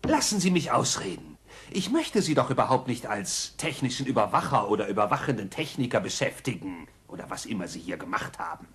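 An actor playing a scheming, imperious old cartoon tycoon with a raspy voice, oily and sardonic, in a German-dubbed audio play. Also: male voice, medium pitch, sing-song, brisk, a bit bright, heavily acted. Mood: oily, persuasive.

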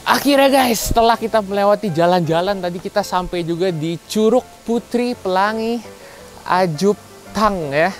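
Upbeat young male vlogger with a slightly high voice, talking to camera outdoors, light music underneath. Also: normal speed. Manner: chatty, upbeat, addresses viewers as guys. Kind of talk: casual travel vlog narration. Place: outdoors at a waterfall resort.

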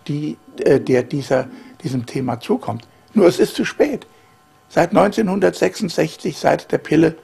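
Gravelly older German man, halting. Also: medium pitch, mellow. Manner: halting, many fillers and restarts, weighty emphatic phrasing. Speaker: interviewee, newspaper journalist. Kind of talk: reflective interview answer. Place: living room, indoors.

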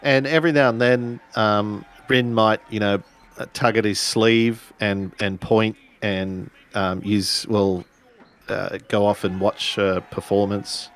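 A man with a fairly low voice plays a tabletop game. He improvises his characters' actions casually, pausing and restarting as he tentatively works them out. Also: little pitch movement, fairly bright tone. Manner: halting, tentative. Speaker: roleplaying game player.

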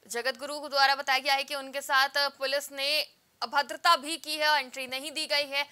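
A woman's voice: sits high, little pitch movement, moderate pace, bright, forceful tone. A young woman presenting the news as a studio anchor. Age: young adult.

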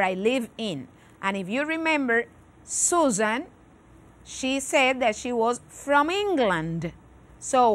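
Middle-aged female TV teacher with a Cuban Spanish accent, slightly high voice, slow and careful, switching between Spanish and English, studio lapel sound. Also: fairly bright tone. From Cuba. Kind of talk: televised classroom lesson. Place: TV studio with virtual set.

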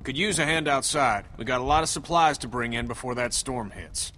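A man's voice: mid-range voice, brisk, sharp tone, a little hiss or hum, lightly acted. An actor playing a middle-aged male survivor in a video game, plain-spoken and folksy, with a Southern US accent. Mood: upbeat. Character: gruff, warm-hearted middle-aged male survivor, grieving but hopeful. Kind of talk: naturalistic video game voice acting.

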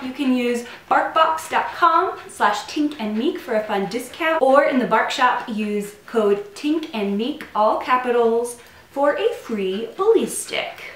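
A young woman with a high, very animated voice chats casually as a vlog host. She slips into playful pet talk. The sound is roomy, picked up from a little way off in a living room. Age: young adult. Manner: playful, cooing to pets. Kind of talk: casual pet unboxing vlog, talking to camera and to pets. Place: living room at home, indoors. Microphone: camera a few feet away. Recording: roomy, distant mic, faint noise.

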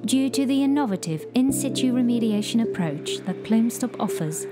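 A woman giving a measured, clear scripted narration for a corporate explainer video. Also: fairly high pitch, lively intonation, over music. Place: studio voice-over.

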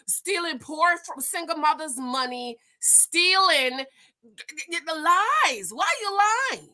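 A middle-aged woman giving informal, animated reaction commentary, exclaiming, stretching words for emphasis and repeating her phrases. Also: high voice. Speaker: host of a commentary channel. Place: small room at home, indoors.

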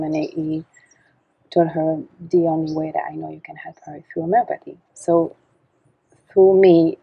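A woman in her thirties from Belgium, a podcast guest talking reflectively on an outdoor porch, with many pauses and fillers. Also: low-pitched, creaky, mellow, husky, poor audio. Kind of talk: casual interview talk. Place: covered porch outdoors in the tropics.

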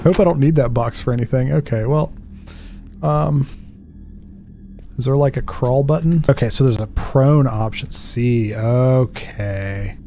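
A man in his thirties narrates his gameplay casually, thinking aloud at an unhurried pace. He speaks in a medium-pitched voice close to the mic and often questions himself. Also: mellow, soft background music. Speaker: gaming YouTuber. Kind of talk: casual let's play commentary. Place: indoor home setup.

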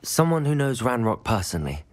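A young man playing a resolute, measured student wizard hero in a video game, his voice slightly husky. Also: medium pitch, big pitch swings, lightly acted. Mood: thoughtful. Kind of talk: video game cutscene acting. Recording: music underneath.

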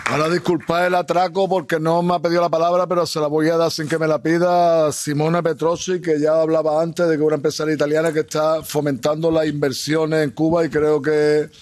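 A middle-aged man moderating a forum, brisk and informal as he addresses the room at a desk microphone. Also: on the high side, flat delivery, a little mellow. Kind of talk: chairing a forum. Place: large parliamentary meeting hall.

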